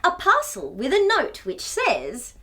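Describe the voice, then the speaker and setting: A woman with a high, very lively voice presents a children's storytime. She takes her time, reading expressively and breaking off with exclamations and questions for the little ones. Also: measured pace. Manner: animated, exclaiming, asks the young listeners questions. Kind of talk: expressive read-aloud storytelling for young children.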